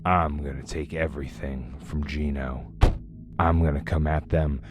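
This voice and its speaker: A grim hitman acted by a man with an exceptionally deep voice tells his noir game story. He is terse and profane, brooding over his own hard code. Music plays clearly behind him. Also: steady tone, a little slow. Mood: cold, vengeful. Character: brooding hitman antihero with a rigid moral code. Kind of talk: hardboiled noir game narration.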